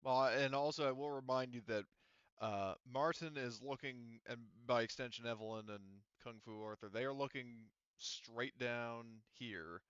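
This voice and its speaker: A man acting as game master of an online tabletop roleplaying game, explaining rules in a halting, deliberate way over a voice chat. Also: mid-range voice, fairly bright tone, clear, resonant tone, dry recording, highs cut off. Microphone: online voice call microphone.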